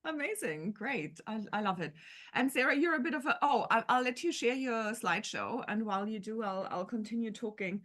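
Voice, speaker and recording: A woman's voice: a little high, animated, fairly quick, fairly bright tone. Upbeat lady host on a video call from home, introducing a guest. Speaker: host of parenting community talk. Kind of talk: hosting an online talk. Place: home room with shelves.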